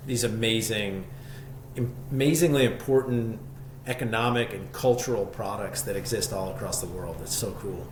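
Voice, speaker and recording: A man speaking as an interview guest, telling his story conversationally in a reflective, enthusiastic way. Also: on the low side. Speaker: podcast guest, shop co-founder. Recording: echoey room, faint background noise.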